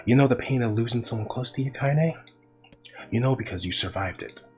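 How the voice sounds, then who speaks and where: A fierce old grandmother who speaks with a harsh, growling rasp, vulgar and quick to threaten, as a man puts her on. The voice sits low, and faint music plays behind it. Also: expressive. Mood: stern tender.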